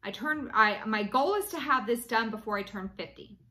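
A woman's voice: a little high, expressive, normal speed, pushed and bright. A woman in her late thirties chatting casually to camera at home about her cross-stitch project, rambling with many ums and restarts. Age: 30s. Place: small room indoors at home.

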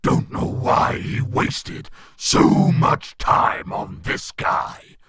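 A man voicing a gloating, bloodthirsty demon in a comic dub, with a rasping, breathy growl and a sneering, boastful manner. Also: very slow, a bit bright. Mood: scornful. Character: gloating, bloodthirsty demon monster serving his master.

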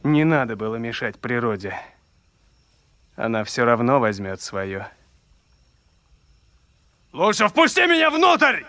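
An actor playing an intense, possessive young boyfriend with a menacing streak in a dubbed film drama. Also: male voice, medium pitch, big pitch swings, takes it slowly, long pauses, dry recording, full character voice. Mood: menacing, demanding. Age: young adult. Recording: loud film music behind dubbed dialogue.

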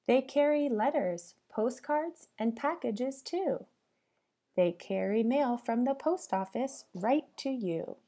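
A woman reads aloud like a teacher with young children, in a soft, mellow voice. Her pitch swoops in a sing-song way, and she takes her time, stopping to ask questions. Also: expressive, slow. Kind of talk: interactive storybook read-aloud for young children.

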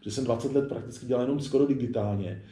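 Mellow-voiced man chatting in an echoey room. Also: mid-range voice. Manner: informal, rambling, with swearing. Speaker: interview guest, illustrator. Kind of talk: casual candid interview chat.

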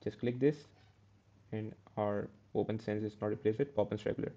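Man, coding tutor, flat low voice, dark tone, slightly dull recording. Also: moderate pace. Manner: step-by-step, self-correcting with "uh" and "okay". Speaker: programming tutor.

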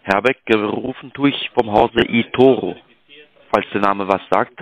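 A man's voice: lowish voice, normal speed, gravelly, no room sound, highs cut off. A man making a polite sales call, deferential, apologetic and hesitant in the way he talks. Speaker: cold-calling sales agent.

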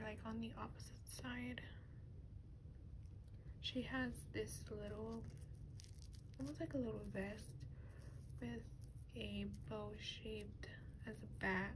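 A woman speaking softly and breathily, often close to a whisper, in a gentle, casual ASMR show-and-tell. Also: medium pitch, steady tone, a little slow, long pauses. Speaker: doll ASMR video creator. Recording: clear background noise, lo-fi ambience.

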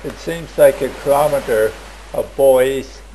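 A man narrating a travel video in a plain, measured voice-over. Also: mid-range voice, dark tone, slight background noise, low-quality recording. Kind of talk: slow scripted travel voice-over.

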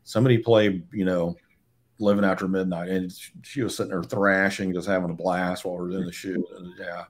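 A man's voice: low voice, flat delivery, fairly quick. A man in his forties from Georgia, a guest on a video call, chatting casually with blunt, swear-laden storytelling. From Georgia, USA. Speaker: guest, photographer. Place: home room, indoors. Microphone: webcam on a video call.

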